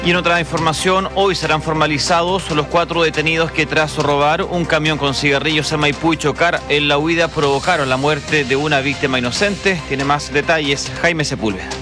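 A man's voice: a little high, fairly even intonation, moderate pace, few pauses, music in the background. A man with a Chilean accent anchoring a radio newscast, reading scripted headlines.

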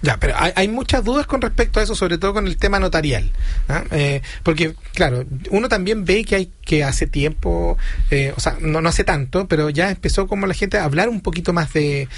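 A man's voice: sits high, expressive, brisk. A man, hosting an interview and stringing several questions together in a chatty way. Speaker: interview host.

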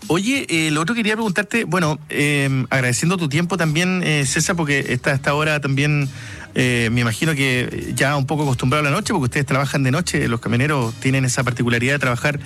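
A man's voice: medium pitch, fairly quick, fairly bright tone. A man with a Chilean accent hosting a late-night radio interview, asking long, chatty questions full of fillers.

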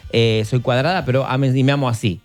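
A man's voice: mid-range voice, fairly even intonation, brisk. A man with a Rioplatense Argentine accent hosting a radio show, chatting casually and gossiping with a laugh now and then. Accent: Rioplatense Argentine Spanish.

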